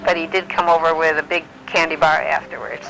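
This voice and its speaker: An elderly woman from Illinois in her nineties, gently recalling her life in an unhurried way, often pausing with um and uh. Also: deep voice, little pitch movement, gravelly, soft background music, rough recording. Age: older. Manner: gentle, unhurried storytelling with many ums and uhs. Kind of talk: reminiscing, answering memory prompts.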